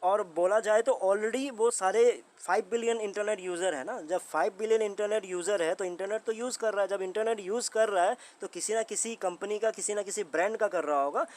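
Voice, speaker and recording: A man's voice: high voice, fairly quick, few pauses, darkish tone. A man with an Indian accent giving informal explainer commentary, conversational, often repeating his points and putting rhetorical questions to the listener. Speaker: host of a commentary channel on a company's updates.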